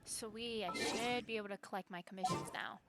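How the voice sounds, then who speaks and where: A woman's voice: medium pitch, fairly quick. A woman game streamer giving casual live commentary, often talking to herself, with game music behind her.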